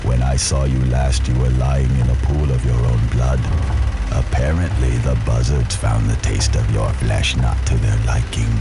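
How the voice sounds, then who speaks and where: Menacing veteran outlaw, rumbling bottom-register voice, cold sneer, Western game villain, heavy music. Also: male voice, flat delivery, vocal fry. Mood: cold taunting. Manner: cold, sneering, deliberate threats. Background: loud dramatic music.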